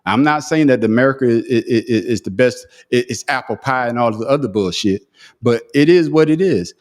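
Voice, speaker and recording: A man's voice: mid-range voice, fairly quick. A man hosting a casual podcast, arguing emphatically and restarting his sentences often. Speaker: podcast host. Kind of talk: heated casual debate.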